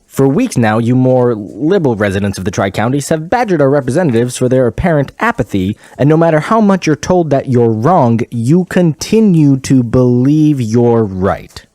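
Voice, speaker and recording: An actor playing a smug, opinionated conservative local news anchor, blunt and condescending in a satirical newscast. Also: male voice, mid-range voice, animated, moderate pace, few pauses, warm tone, dry recording, natural voice.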